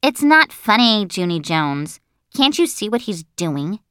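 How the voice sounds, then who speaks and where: A woman's voice: medium pitch, sing-song, measured pace, sharp tone, clear, resonant tone, no echo, clean studio sound. Casting: woman to play a disapproving, suspicious young girl in a children's story, indignant and scolding. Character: stern, suspicious little girl who scolds classmates. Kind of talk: children's audiobook character voice.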